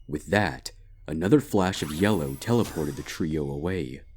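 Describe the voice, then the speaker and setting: A man giving a dramatic scripted narration of a dark fantasy story. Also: extremely low pitch, normal speed, a little hiss or hum. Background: background music.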